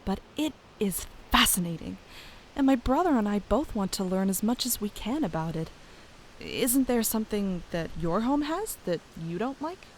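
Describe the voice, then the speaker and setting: Woman playing young druid princess, medium pitch, curious and sociable, fantasy audio drama, background hiss. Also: lively intonation, normal speed, lightly acted, low-quality recording. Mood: earnest, curious.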